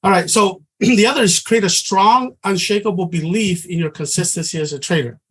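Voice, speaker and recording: A man's voice: high voice, brisk, fairly bright tone, breathy edge. A middle-aged man from Samoa, teaching a trading class in an earnest, conversational way and often restarting his sentences. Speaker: trading instructor.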